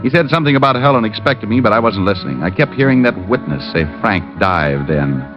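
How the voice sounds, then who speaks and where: Man playing wry American private eye, low animated voice, hard-boiled, teasing, old radio, muffled, music. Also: brisk, lightly acted. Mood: brooding, intent. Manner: sardonic, teasing. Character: wry, shrewd, needling male private detective. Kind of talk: hard-boiled first-person narration, 1940s-50s radio acting.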